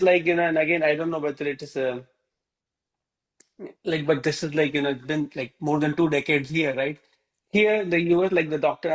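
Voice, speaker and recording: A man's voice: a little high, low-quality recording. A man from India teaching a Hindi class over a video call, rambling and full of fillers like "you know".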